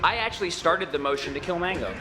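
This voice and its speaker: Young man, high and lively voice, insistent, lecturing, music behind. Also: fast talker. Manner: insistent, lecturing, self-assured. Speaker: visiting guest. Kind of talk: animated game argument.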